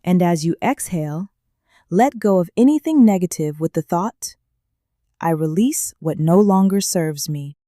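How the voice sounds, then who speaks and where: A woman leading a guided meditation in a calm, gentle way, with slow soothing instructions and long pauses. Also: on the low side, dry recording, clean studio sound.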